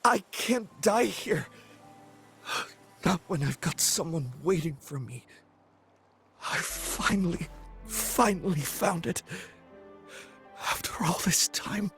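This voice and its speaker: An eager, easily flustered young male adventurer from a video game. His voice is high and earnest, with slow, halting, breathless phrasing over faint music. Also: expressive, fairly bright tone, full character voice. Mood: weary, determined.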